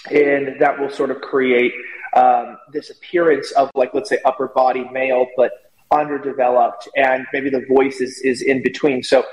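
A man's voice: mid-range voice, little pitch movement, normal speed. A man giving casual, opinionated commentary as a talk show guest, explaining at length in a rambling way. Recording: echoey room with long reverb.

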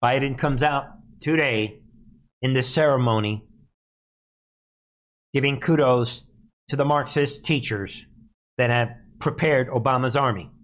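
A man's voice: medium pitch, unhurried, pauses often. A man hosting live political commentary, speaking emphatically and scornfully and repeating phrases to drive them home. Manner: scornful, mocking. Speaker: live news commentary host.

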